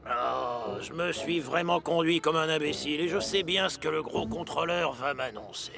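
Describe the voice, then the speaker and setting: A man voicing a jolly, excitable old sailor diesel engine with hearty seafaring talk in a French children's cartoon. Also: fairly high pitch, normal speed, gravelly, over music. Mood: sheepish.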